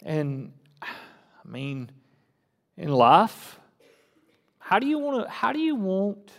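A forty-something man preaches in a folksy, conversational way, now and then pushing into fervent emphasis. His voice sits high for a man. A long reverb trails his words through the church. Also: huge pitch swings, slow, creaky, a bit bright. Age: 40s. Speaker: pastor. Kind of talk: casual sermon. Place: church sanctuary.

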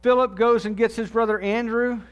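A man preaching a sermon in a conversational way, dropping low and soft on key phrases. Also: fairly even intonation, fairly quick, clean tone, dull audio. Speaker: pastor.

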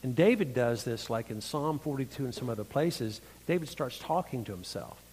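A middle-aged man with a thin, mid-pitched voice speaks at a church conference. His sermon is earnest and reflective, with halting restarts. The recording carries a long, echoing hall reverb. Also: animated, darkish tone, slightly rough.

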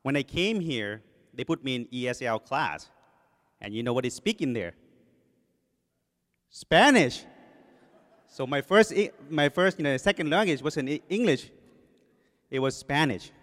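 A man in his forties speaks English with a Thai accent from a church podium, joking at his own expense and leaning on fillers. His voice sits at an ordinary middle pitch. The sound rings out with the long reverb of a church sanctuary. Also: expressive, a little slow, pauses often, a bit bright. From Thailand. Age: 40s. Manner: self-deprecating, joking, with many fillers. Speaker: guest preacher. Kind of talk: sermon introduction. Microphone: podium microphone.

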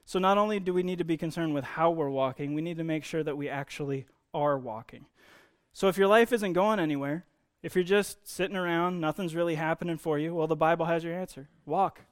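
A man's voice: fairly high pitch, fairly quick. A man giving a conversational sermon, explaining Bible teaching in an informal way with emphasis on key words.

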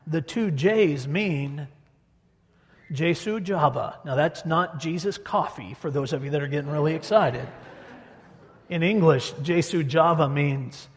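A man preaching a sermon, telling a story and stretching or rushing his words for emphasis. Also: on the high side, in a large hall. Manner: storytelling, varies pace for emphasis. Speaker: pastor.

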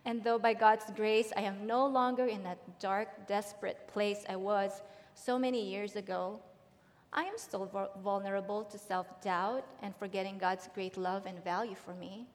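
A woman's voice: medium pitch, fairly even intonation, moderate pace. Middle-aged woman speaking in a reverberant hall. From Philippines. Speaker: church member giving testimony. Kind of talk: prepared personal testimony. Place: large church hall.

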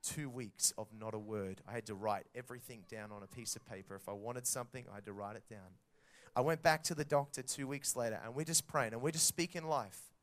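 Aussie guy preaching to kids and parents, animated storyteller, medium voice. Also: medium pitch, brisk. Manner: animated storytelling, acting out dialogue. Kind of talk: sermon to children and parents.

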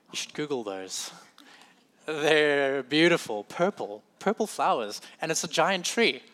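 A man with a South African accent preaches in a bright, forward voice. He keeps it conversational, catching and fixing his own wording as he goes and slipping in easygoing asides. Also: mid-range voice. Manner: conversational, self-correcting, with light humorous asides. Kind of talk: sermon.

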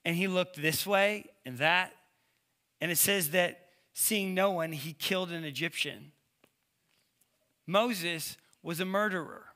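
A man's voice: high-pitched, slow, long pauses, pushed and bright. A man with a lisp giving a casual, conversational sermon as a preacher, with informal, slangy storytelling. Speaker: preacher, youth ministry leader.

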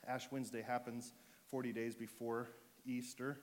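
A man's voice: medium pitch, normal speed. Man with a sore throat preaching. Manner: joking, relaxed, with fillers and restarts. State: sore throat, losing his voice. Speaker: pastor. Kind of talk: sermon. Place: church.

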